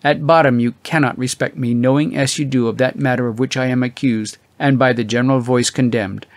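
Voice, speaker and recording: A man voicing an earnest, disgraced clergyman's letter in an audiobook, solemn, formal and measured. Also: mid-range voice, fairly even intonation, dry recording, lightly acted. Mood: solemn, grateful.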